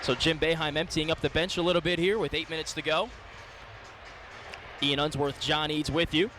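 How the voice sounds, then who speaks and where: A man's voice: fairly high pitch, steady tone, moderate pace, over music. A man calling a basketball game live on radio as play-by-play announcer, in clipped, descriptive phrases. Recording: loud arena noise behind the voice. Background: gym ambience, bouncing basketballs.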